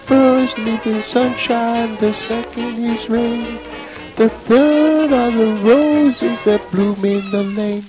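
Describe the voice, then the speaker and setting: A woman's voice: fairly high pitch, steady tone, slow, over music, poor audio. A woman singing an old-time vaudeville number.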